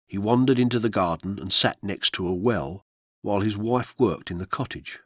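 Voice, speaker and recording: A man narrates a historical account for radio in a solemn, measured way. His voice sits a little low and has a husky grain. The recording is dry and close, as in a studio. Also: dry recording. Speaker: narrator reading true-crime history.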